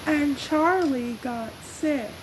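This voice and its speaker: Female racing driver as storybook reader, put on by a performer: slow, high, deliberate, noisy hissy recording. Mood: presenting, measured. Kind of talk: scripted story narration with self-introduction.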